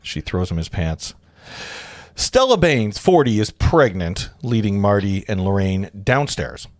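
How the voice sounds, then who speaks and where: A man's voice: fairly low pitch, sing-song, unhurried, no room sound, studio quality. A man in his forties reading stage directions aloud informally, tossing in his own asides. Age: 40s. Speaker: narrator of a script read-through.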